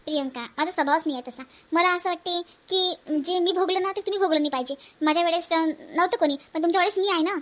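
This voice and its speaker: Woman doing soft-hearted dutiful elder sister-in-law in a family cartoon, high voice, quick talker, varhadi marathi accent, kinda hesitant. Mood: gentle, reassuring. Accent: Varhadi Marathi from Vidarbha. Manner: conciliatory, hesitant, self-sacrificing. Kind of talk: homely family cartoon voice acting.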